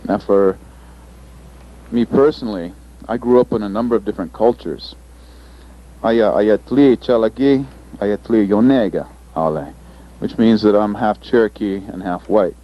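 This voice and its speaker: A man giving a presentation as an interpreter, speaking thoughtfully with pauses and fillers. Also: lowish voice. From United States. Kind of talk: recorded panel presentation. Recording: dull lo-fi recording, faint ambience.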